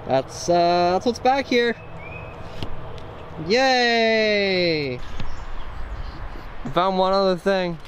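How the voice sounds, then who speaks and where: A man's voice: sits high, extremely slow, pauses often, dull audio, slight background noise. A young man vlogging with a handheld camera at arm's length as he walks through suburban streets, talking in a laid-back, dry, self-mocking way. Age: young adult. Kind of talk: casual vlog chat. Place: outdoors on suburban streets and trails. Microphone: handheld camera held at arm's length.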